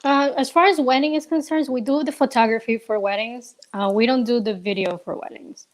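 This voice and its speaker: A woman's voice: a little high, fairly quick. A young woman from Ecuador, a business co-owner, answering interview questions casually and hesitantly, with many fillers and restarts, over a remote call.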